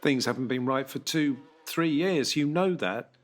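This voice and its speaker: A man playing an apologetic but blunt racing team boss in an audio story, husky, matey and persuasive with some hesitation. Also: mid-range voice, a little slow, pitched above the performer's own voice, lightly acted. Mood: apologetic, placating.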